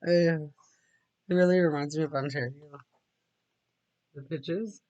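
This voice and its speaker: A man's voice: fairly high pitch, fairly even intonation, measured pace, a little mellow, no echo, lo-fi sound. A man reading a storybook aloud, sometimes dropping into casual asides. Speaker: read-aloud narrator.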